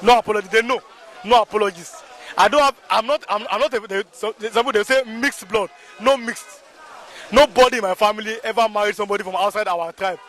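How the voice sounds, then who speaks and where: A man with a strikingly high voice and a Yoruba-tinged Nigerian accent argues forcefully in the open air. He repeats himself for emphasis and keeps asking whether you understand. A faint murmur of people can be heard around him. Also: fast, faint music behind, a faint crowd. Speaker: local spokesman of a political movement. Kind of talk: impassioned street interview.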